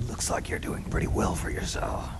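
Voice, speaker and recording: An actor playing a terse, wary veteran soldier in a game cutscene, with a gruff, gravelly voice. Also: male voice, moderate pace, noisy background, natural voice. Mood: guarded. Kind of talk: video game cutscene acting.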